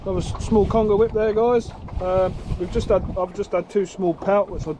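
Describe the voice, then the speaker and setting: A fairly high-voiced man chats to his viewers while fishing from a small open boat at sea at night, calling them guys and narrating each catch. A camera on the boat picks him up, and heavy wind roars across the mic the whole time. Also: steady tone, brisk. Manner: chatty, addresses viewers as guys. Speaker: fishing vlogger. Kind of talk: casual on-the-spot fishing commentary. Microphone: action camera worn or mounted on the boat. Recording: loud wind noise on an outdoor camera mic.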